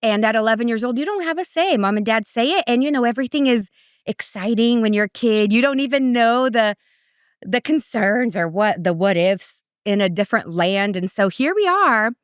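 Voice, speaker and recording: A woman from Brazil, middle-aged, chats about her life in a lively, animated way, and her voice climbs high whenever she quotes someone. Her tone is smooth and clear, and the recording is dry and close with no room sound. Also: moderate pace, dry recording. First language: Portuguese. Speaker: guest, parenting coach. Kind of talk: casual conversational storytelling.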